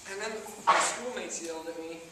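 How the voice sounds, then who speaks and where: A man's voice: fairly high pitch, fairly quick, close to an everyday voice. A man acting an awkward, talkative loner in an amateur stage play, with wordy, stilted, overly precise phrasing. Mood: agitated. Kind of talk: amateur one-act stage comedy-drama acting. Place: theatre stage, recorded from a distance. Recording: echoey theatre, distant mic, loud noise.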